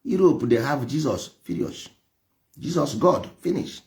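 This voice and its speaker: Nigerian igbo guy preaching, medium pitch, really animated, hammers his points, repeats himself a lot. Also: measured pace. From Nigeria, Igboland. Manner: emphatic, repeats phrases, rhetorical questions. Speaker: traditional religion teacher. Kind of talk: impassioned preaching and lecturing.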